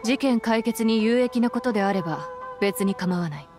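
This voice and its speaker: A woman's voice: a little high, moderate pace, close to an everyday voice. A woman playing a stern, dutiful female general in an anime game, formal and authoritative. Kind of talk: Japanese anime game voice acting. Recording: background music behind voice.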